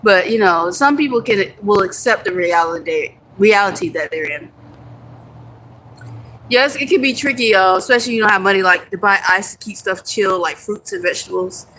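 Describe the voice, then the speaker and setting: Young American woman, bright forceful voice, casual livestream chatter, faint background hum. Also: mid-range voice, moderate pace, low-quality recording. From United States. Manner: chatty, answers comments, laughs easily, some swearing. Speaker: livestream host. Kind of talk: casual live chat with viewers.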